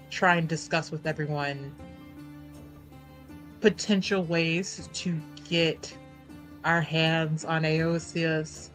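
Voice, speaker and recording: A woman's voice: lowish voice, a bit bright. A woman chatting casually as a tabletop game player, thinking aloud with a giggly, informal manner.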